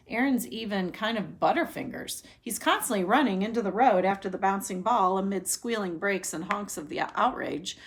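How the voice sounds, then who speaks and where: Expressive woman reading a story aloud. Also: medium pitch. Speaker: teacher reading a novel aloud. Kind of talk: expressive children's read-aloud narration.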